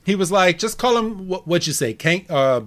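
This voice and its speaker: A man's voice: high-pitched, lively intonation, normal speed, a bit bright. A man hosting a podcast, chatting informally and retelling things with quoted reactions. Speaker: podcast host. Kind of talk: casual podcast recap and discussion.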